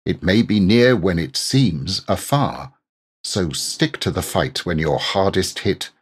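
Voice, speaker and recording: A man reading inspirational verse aloud in a deliberate, earnest, exhorting manner. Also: low-pitched, very animated, breathy edge, dry recording. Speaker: poetry reader. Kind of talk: measured inspirational poetry recitation.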